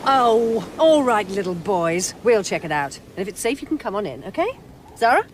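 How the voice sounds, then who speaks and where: Woman acting determined film-maker, brisk and probing, animated delivery, faint ambient noise, audio drama. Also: mid-range voice, natural voice. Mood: brisk, challenging.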